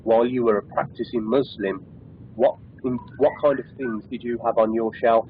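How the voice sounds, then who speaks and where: A man's voice: mid-range voice, fairly even intonation, normal speed. A man hosting a call-in discussion show, casual and joking with callers. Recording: tinny phone-like line, faint hiss.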